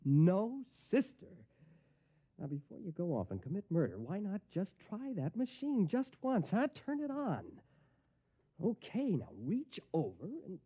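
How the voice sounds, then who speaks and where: An unhinged man in an old radio drama, full of hidden hatred. He mutters to himself and lurches into nervous, falsely genial excuses, his pitch swinging widely. The recording is dull vintage radio. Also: huge pitch swings, measured pace, slightly rough, full character voice. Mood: hateful, agitated. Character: unhinged, murderous man hiding hatred behind forced geniality. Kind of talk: 1950s radio drama acting.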